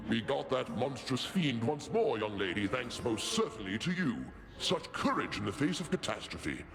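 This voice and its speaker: A grand older king of the gods, with a very animated, swooping delivery. He is confident, pompous and formal, declaiming like a ruler in a mythic game, with music behind him. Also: mid-range voice, moderate pace. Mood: grand, proud. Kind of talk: scripted game acting.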